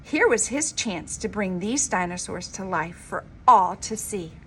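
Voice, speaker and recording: A woman reading a children's storybook aloud like a teacher, with an expressive, emphatic delivery. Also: mid-range voice, a little slow. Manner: dramatic, emphatic delivery with informal asides. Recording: clearly noisy homemade recording.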